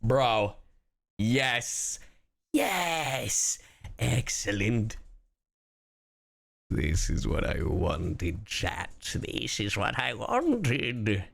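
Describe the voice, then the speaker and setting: A man gives casual livestream reactions in a slangy, sweary, excitable way. His voice is forceful and creaky and swings widely in pitch. Faint music plays behind him. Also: drawn-out words, long pauses. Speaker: streamer.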